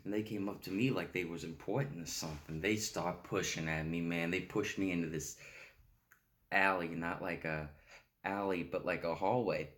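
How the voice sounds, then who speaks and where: A man's voice: low-pitched, steady tone, moderate pace, a bit bright. A man performing a stage monologue as a pathetic, childlike heroin addict, rambling, stammering and pleading as he repeats himself. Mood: agitated, wounded.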